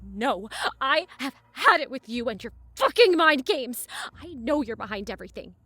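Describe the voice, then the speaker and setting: A woman's voice: sits high, very animated, moderate pace, bright, forceful tone, breathy edge, dry recording, full character voice. A woman playing a distraught, suspicious, hot-tempered young stepdaughter in an audio drama, emotionally raw and confrontational. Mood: furious.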